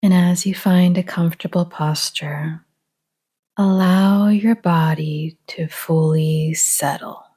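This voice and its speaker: A woman guiding a relaxation meditation in a soft, half-whispered, breathy voice, calm and gentle, with long pauses. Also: lowish voice. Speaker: meditation guide.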